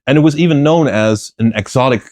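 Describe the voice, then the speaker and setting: A man's voice: mid-range voice, brisk, darkish tone, dry recording, clean studio sound. A middle-aged man, explaining at length as an interview guest, thoughtful with frequent fillers and restarts. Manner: thoughtful, many fillers and restarts, laughs lightly. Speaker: guest, plant philosopher. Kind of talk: conversational interview answers.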